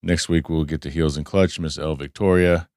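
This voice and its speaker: A man hosting a casual podcast, hoarse and losing his voice, talking in a loose, rambling way with some swearing. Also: very deep voice, fairly even intonation, normal speed, a little mellow, dry recording, clean studio sound. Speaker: podcast host. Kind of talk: casual chat. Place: studio.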